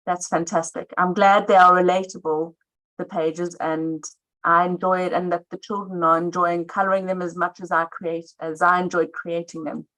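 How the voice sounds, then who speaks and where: A woman speaking at a live book launch. Her voice sits on the low side. She talks in an earnest, warm way and keeps breaking off to restart her sentences. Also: little pitch movement, moderate pace, low-quality recording. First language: not Afrikaans. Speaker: artist.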